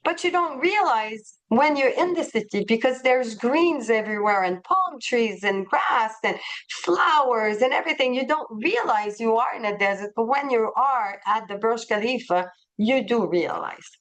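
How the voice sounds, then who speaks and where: A woman with a non-native English accent presents a tour itinerary to travel agents on a webinar. Her voice sits a little high. She sounds enthusiastic and keeps hesitating and restarting, recorded on a computer mic. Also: expressive, moderate pace, fairly bright tone, poor audio.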